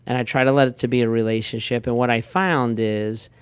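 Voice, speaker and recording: A middle-aged man, a pastor, telling everyday stories in a relaxed chatty way with frequent restarts. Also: medium pitch, fairly quick, clear, resonant tone, no room sound. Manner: relaxed storyteller, frequent restarts and quoted asides. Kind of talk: casual conversational talk.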